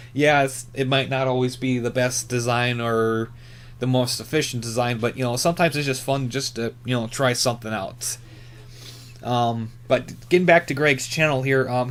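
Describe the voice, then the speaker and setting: A man with an average-pitched voice talks off the cuff like a hobbyist vlogger. His delivery is laid-back and rambling. He halts often, leaning on fillers as he gathers his next thought. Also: smooth. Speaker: hobby radio YouTuber. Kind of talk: casual unscripted chat, reviewing channels.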